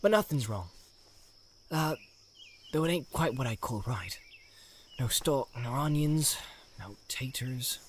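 A man's voice: mid-range voice, animated, slow pace, pauses often. A man voicing a plain-spoken, stubborn young hobbit servant in an audiobook. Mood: gentle. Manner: folksy, scolding, practical. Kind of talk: dramatised audiobook character voice. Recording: clear outdoor ambience noise behind.

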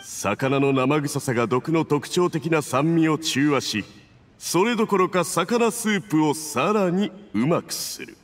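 A man's voice: fairly high pitch, lively intonation, a little slow, big-room sound. A man voicing a scheming, domineering male agent in an anime video game, smug, patronizing and commanding. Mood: patronizing praise. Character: scheming, domineering Fatui agent toasting his accomplice.

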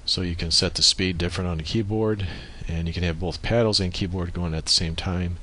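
A man giving an informal software tutorial, explaining methodically and thinking aloud as he works through the steps. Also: deep voice, fairly even intonation, a little fast. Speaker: tutorial presenter. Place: indoors at a computer, voice-over on screen recording.

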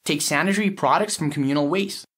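A man's voice: fairly high pitch, fast talker, a bit bright, slightly rough. A man playing a cynical, blunt lab technician in an audio story, explaining in a matter-of-fact, sardonic way. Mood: blunt, dry. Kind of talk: acted dialogue in an audio short story.

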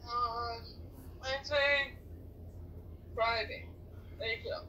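High, bright-voiced man on a phone call. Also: flat delivery, slow. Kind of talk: casual chat on a video call. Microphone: video call played through a phone. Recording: phone speaker, faint background noise.

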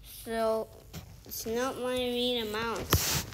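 A woman's voice: a little high, level tone, very slow, a little hiss or hum, poor audio. A young woman casually commentating her mobile football game, rambling and thinking aloud with many "like"s and restarts. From outside the featured countries. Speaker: gaming video creator.

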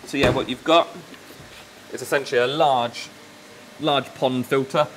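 A man's voice: medium pitch, a little slow, a little hiss or hum, rough recording. Young man giving a shop tour. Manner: relaxed, explains with fillers like um and yeah. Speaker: fish shop staff member. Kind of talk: casual walkthrough chat. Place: large indoor aquarium shop.

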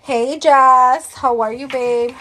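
A woman's voice: high voice, fairly even intonation, takes it slowly, sharp tone. A woman, chatting casually as a live-stream host, thanking viewers and slipping into Spanish, a bit tired and out of breath. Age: 30s.

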